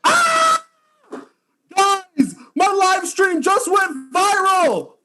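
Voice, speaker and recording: A woman playing a melodramatic, excitable live-streaming influencer with shrieking, whiny theatrics in an audio comedy. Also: extremely high pitch, drawn-out words, background noise, heavily acted. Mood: shrieking excitement. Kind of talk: comedic audio drama acting.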